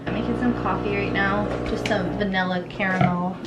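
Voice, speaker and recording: A woman's voice: medium pitch, steady tone, a little fast, loud music behind the voice. A young woman chatting casually in a vlog, rambling and informal, recorded indoors at home. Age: young adult. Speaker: vlogger and mother of a young child. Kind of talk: casual vlog chat to camera. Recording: echoey room, clearly noisy background.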